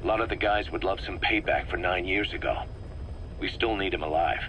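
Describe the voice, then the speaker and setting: A man's voice: sits low, fairly quick, bright, forceful tone, low-quality recording. A man playing a stern, distrustful mercenary officer in a video game, giving briefings in a clipped, authoritative way. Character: stern, distrustful male mercenary second-in-command briefing his commander. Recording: faint background noise on cassette recording.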